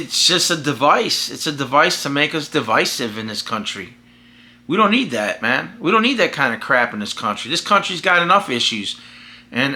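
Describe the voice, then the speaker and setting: An American man sounding off in a vlog, his voice fairly high and strikingly sharp and forceful. He rambles emphatically, starting phrases over again and again. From United States. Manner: emphatic, rambling with frequent restarts. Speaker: vlogger and podcaster. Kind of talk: casual opinionated monologue.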